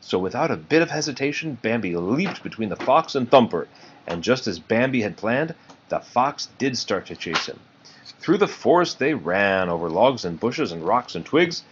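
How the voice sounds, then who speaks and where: A man's voice: on the low side, lo-fi sound, a little hiss or hum. A man narrating a children's storybook in a read-aloud style. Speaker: storybook reader.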